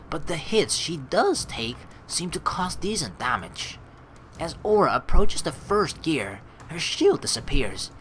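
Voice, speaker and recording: A woman playing through a game, reading the story aloud and reacting excitably with casual swearing. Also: low-pitched. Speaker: let's play streamer reading a visual novel aloud.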